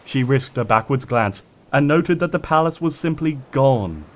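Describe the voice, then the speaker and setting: A man's voice: mid-range voice, animated, normal speed, a little hiss or hum. A man reading fiction aloud as a narrator, in a dramatic scripted storytelling style. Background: ambient sound effects.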